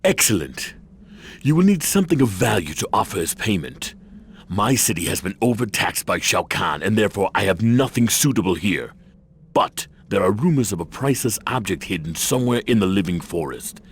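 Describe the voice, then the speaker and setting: An actor playing a proud, defiant city overlord in a video game, with authoritative, formal and dramatic delivery. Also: male voice, on the low side, big pitch swings, normal speed, a little hiss or hum. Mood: urgent, hopeful. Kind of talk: video game cutscene acting.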